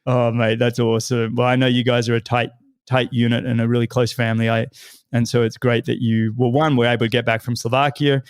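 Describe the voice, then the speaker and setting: A middle-aged man hosting an interview podcast, slightly raspy from a head cold, friendly and calling his guest "mate". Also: medium pitch, level tone, fairly quick, no echo, clean studio sound. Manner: friendly, says "mate" often. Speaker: podcast host.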